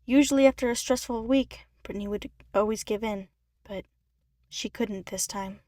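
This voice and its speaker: A woman's voice: fairly high pitch, normal speed, dark tone, dry recording, studio quality. A woman reading scripted fiction aloud as a narrator.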